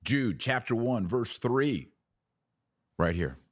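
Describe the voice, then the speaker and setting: A man's voice: mid-range voice, sing-song, slow, dry recording. A man hosting a podcast, giving opinionated religious commentary in a preaching style, emphatic, with rhetorical questions and sarcastic asides.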